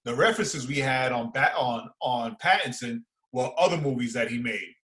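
A man, chatting casually and excitably as a podcast co-host, using slang and repeating phrases for emphasis. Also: medium pitch, moderate pace. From Far Rockaway, New York, United States. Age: 40s. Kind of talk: casual fan chat, reviewing and reacting.

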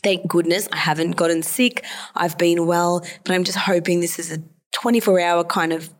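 Slightly hoarse, low-pitched woman podcaster. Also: steady tone, moderate pace. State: slightly hoarse, nasal from a sore throat. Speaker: podcast host and psychologist. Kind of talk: solo podcast talk.

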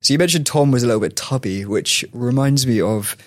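Podcast host, man, mid pitch, dry close sound, chatty storyteller. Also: fairly quick, no room sound. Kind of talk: casual chat.

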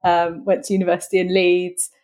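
A woman's voice: on the low side, completely flat delivery, fairly quick, darkish tone. A young woman chats in a casual, friendly way. She asks curious follow-up questions and laughs easily. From North London, England. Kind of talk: casual conversation between friends.

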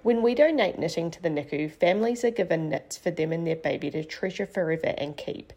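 A woman reads a sponsor message in an even, scripted way. Her voice sits low, with gravelly fry and a husky edge. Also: a little fast. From New Zealand. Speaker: charity founder reading a sponsor message.

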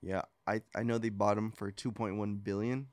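A man's voice: fairly low pitch, fairly even intonation, normal speed, a little mellow, no room sound. A man co-hosting a casual gaming chat in an understated, tentative manner. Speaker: podcast co-host.

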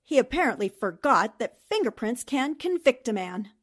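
A shrewd newspaperwoman sleuth from a 1940s radio mystery, played by an actress. Her voice sits a little high, and she speaks with self-assured, methodical precision. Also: big pitch swings, moderate pace. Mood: confident, methodical.

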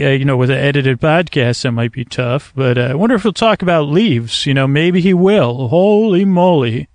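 A man hosting a bedtime podcast in a hesitant, meandering, rambling monologue with stammered repetitions and a creaky voice. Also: on the high side, dry recording. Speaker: podcast host.

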